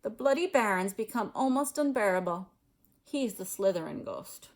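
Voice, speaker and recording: A woman voicing a stiff, formal, easily miffed old gentleman ghost in a storybook. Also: medium pitch, lively intonation, normal speed, natural voice. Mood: pleased, chatty. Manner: formal, prim.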